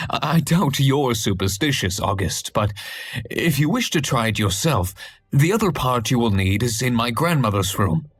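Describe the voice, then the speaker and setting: An actor playing an earnest, anxious young husband pleading for help in a game cutscene. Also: male voice, mid-range voice, animated, unhurried. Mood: reflective. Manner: worried, pleading, slightly husky. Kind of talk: hidden-object game cutscene.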